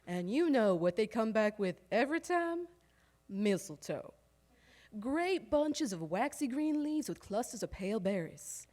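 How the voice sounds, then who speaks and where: A woman's voice: fairly high pitch, sing-song, measured pace, a little mellow. A woman playing a harried, proud old-time household woman, telling a wry, emphatic theatrical monologue that lingers over its lists. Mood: wry, exasperated. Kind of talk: theatrical storytelling monologue on stage. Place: live stage performance in a recreation hall.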